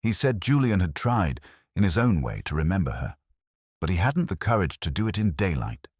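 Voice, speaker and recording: A man with an extremely deep, cavernous voice tells a noir detective story in the first person. His delivery is measured and brooding, rising and falling expressively through each sentence. The sound is close and completely dry, with no room in it. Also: big pitch swings, darkish tone, husky. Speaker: narrator.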